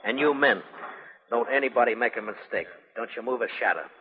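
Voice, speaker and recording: An actor playing a firm, terse, commanding frontier marshal in a 1950s radio western. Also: male voice, medium pitch, a little fast, a loud crowd, lightly acted. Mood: stern, threatening. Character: stern, commanding frontier town marshal in the Old West. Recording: muffled old radio broadcast, long reverb.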